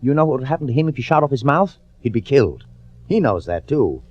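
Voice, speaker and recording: An American actor playing a smooth, ambitious, ruthless prosecutor in a radio suspense drama, urgent, commanding and calculating. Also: male voice, mid-range voice, big pitch swings, quick pace, darkish tone. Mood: firm, persuasive. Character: scheming, smooth, ambitious prosecutor, outwardly friendly, privately ruthless. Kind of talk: 1940s radio acting, with dramatic first-person narration. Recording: dull old-time radio recording.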